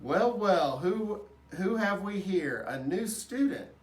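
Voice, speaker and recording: Guy doing a jolly booming janitor from a kids book, chatty n folksy, bit far from the mic. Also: animated, takes it slowly. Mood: hearty, curious. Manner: hearty, folksy, playful. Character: big jovial male night janitor, friendly and chatty. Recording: roomy echo, distant microphone.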